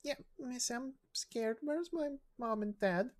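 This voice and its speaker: A woman's voice: fairly high pitch, takes it slowly, pitched above the performer's own voice. A woman voicing a frightened small child in a game story, timid and pleading with a stammer. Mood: scared, pleading.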